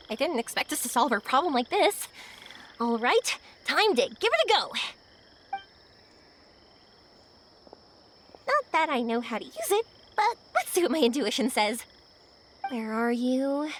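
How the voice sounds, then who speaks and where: A woman voicing a spirited, adventurous and warm young woman in a video game, expressive and enthusiastic. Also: high voice, slight background noise. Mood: earnest, focused.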